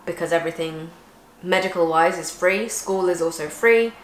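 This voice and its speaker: A woman talks casually to the camera, explaining at length in a lowish voice. Her voice is recorded in an echoey room at home, with faint ambience behind it. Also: normal speed, a little hiss or hum. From England. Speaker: vlogger co-host. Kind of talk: casual chat.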